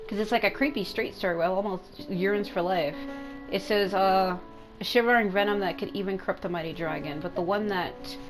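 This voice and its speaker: Low-voiced, congested woman rambling over music. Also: rough recording. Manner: casual, sweary, thinking out loud. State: congested throat with mucus, coughing. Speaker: gaming video host. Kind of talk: casual game commentary, rambling to viewers.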